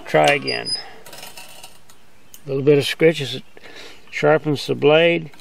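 An older man giving a relaxed, step-by-step outdoor demonstration as a sawmill instructor, talking in a plain, easygoing way. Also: on the high side, a little slow, creaky. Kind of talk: hands-on demonstration. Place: outdoors on a grassy lawn.

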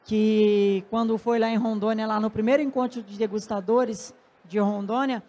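A man's voice: high voice, level tone, moderate pace, mellow. A man with a Brazilian accent chatting informally as a guest on a festival stage, speaking into a handheld microphone. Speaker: coffee producer and guest speaker.

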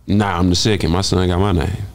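Laid-back young man, low voice. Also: fairly even intonation, fairly quick, gravelly. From Dallas, Texas. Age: young adult. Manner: slangy, laid-back, swears freely. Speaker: guest rapper. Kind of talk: casual interview chat. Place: podcast studio. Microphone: studio mic on boom arm.

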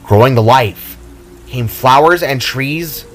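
A man's voice: medium pitch, takes it slowly, faint music behind. A man reading his fantasy story aloud as a dramatic scripted narration. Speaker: author narrating own story.

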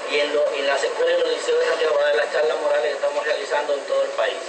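A middle-aged man with a Dominican Spanish accent speaks earnestly in a local TV interview, stumbling and repeating words as he builds his points. His slightly high voice comes from far off in an echoey room. Heavy noise hisses under the whole recording. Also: level tone, rapid-fire. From Dominican Republic. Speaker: interview guest, civic educator. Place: indoor TV set at a table. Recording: echoey, distant, noisy local TV audio.

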